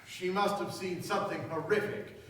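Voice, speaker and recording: A man playing a hot-tempered young gentleman in a staged radio play, emphatic and theatrical. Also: high-pitched, fairly even intonation, normal speed, a little hiss or hum. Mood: earnest, insistent. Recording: very echoey room, far from microphone.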